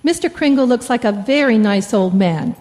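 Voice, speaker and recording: Woman as disapproving wife, slightly high pitch, old radio play style, long reverb. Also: lively intonation, moderate pace, a little mellow, close to an everyday voice. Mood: reproachful. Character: gently scolding, disapproving wife and mother.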